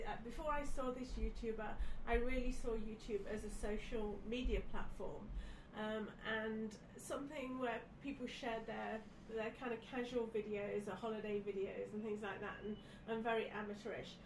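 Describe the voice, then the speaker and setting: A woman's voice: on the high side, steady tone, moderate pace, hardly any pauses. A woman, snuffly with a head cold, chatting casually as a podcast guest. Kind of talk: casual chat from notes. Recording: echoey, distant mic, clear background noise.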